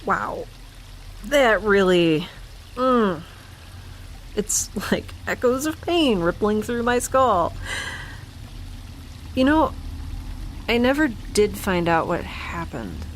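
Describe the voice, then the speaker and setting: A woman playing an injured, stubborn scientist in an audio drama, talking in a halting, defensive, naturalistic way. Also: mid-range voice, big pitch swings, slight background noise. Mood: subdued, pained. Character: injured, stubborn, rambling woman scientist, dazed but defiant.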